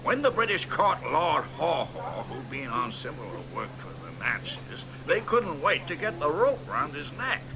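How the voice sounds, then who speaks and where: A boastful, crude old poet. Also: male voice, fairly high pitch, very animated, normal speed, few pauses, noisy background. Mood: indignant. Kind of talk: radio drama acting.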